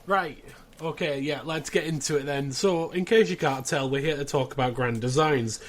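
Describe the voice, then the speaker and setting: Self-deprecating male podcast host, clearly noisy recording. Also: on the high side, moderate pace. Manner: self-deprecating, dry joking. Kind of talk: casual podcast banter.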